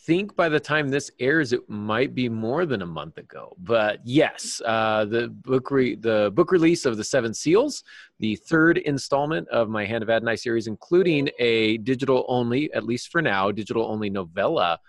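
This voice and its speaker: A man's voice: medium pitch, animated, moderate pace, no room sound. A man co-hosting a podcast, chatting casually in a rambling, self-deprecating way. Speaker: podcast co-host and author. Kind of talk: casual chat.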